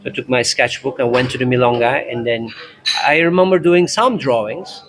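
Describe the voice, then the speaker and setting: A Turkish-born man in his forties talks about his life in dance. His storytelling is relaxed and reflective, and he acts out past conversations as he goes. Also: medium pitch, a little fast. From Turkey. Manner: thoughtful, narrates in chapters, acts out dialogue. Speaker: tango instructor, interview guest.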